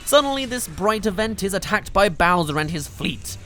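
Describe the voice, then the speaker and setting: A man's voice: high voice, lively intonation, moderate pace, sharp tone. A man narrating a scripted countdown review as a video host, with an enthusiastic, emphatic delivery. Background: music.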